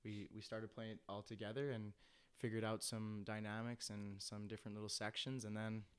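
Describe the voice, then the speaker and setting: A man's voice: on the low side, level tone, a little fast, clean tone. A twenty-year-old man in a band, chatting casually as an interview guest. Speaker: band member being interviewed.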